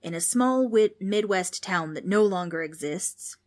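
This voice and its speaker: A woman's voice: mid-range voice, expressive, a little slow, close to an everyday voice. A woman voicing a cranky old male ghost who scolds, grumbles and loses his train of thought in a children's audiobook. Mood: storytelling. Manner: grumbling, exasperated, old-fashioned insults and rambling.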